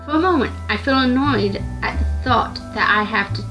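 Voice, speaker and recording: A woman reading a visual novel aloud in an expressive narrating style, now and then stumbling and repeating words. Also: on the high side, moderate pace, over music, rough recording.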